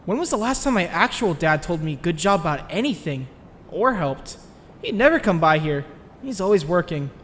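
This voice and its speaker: An earnest, nerdy teenage boy acted by a man speaks in a high, animated voice. He sounds anxious and expressive, telling his story in a dubbed comic, with long reverb around him and music underneath. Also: normal speed, slight background noise. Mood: thoughtful, uneasy. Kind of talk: dramatized comic dub, dialogue and first-person narration.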